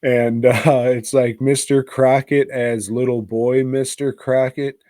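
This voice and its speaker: A man's voice: medium pitch, level tone, takes it slowly, warm tone, no room sound, studio quality. A man hosting a casual movie review podcast, talking in a crude, slangy, rambling way with plenty of swearing.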